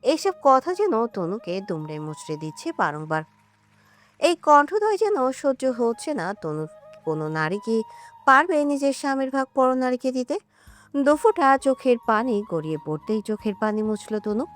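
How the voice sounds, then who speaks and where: A woman tells a romantic story in a high, very lively voice. Her scripted narration is emotive and melodramatic, set over music. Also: normal speed. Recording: music under the voice.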